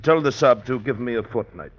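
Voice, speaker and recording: An actor playing a hesitant, courteous, mild Sikh scholar educated at Cambridge, in old-time radio drama style. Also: male voice, medium pitch, expressive, a little fast, lightly acted. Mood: polite, apologetic. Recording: muffled old radio recording.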